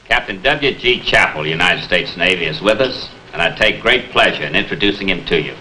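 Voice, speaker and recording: A man's voice: low-pitched, lively intonation, brisk, sharp tone, low-quality recording. A man hosting a 1950s television program, formally presenting and interviewing a guest. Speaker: television host. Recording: 1950s TV soundtrack with hiss.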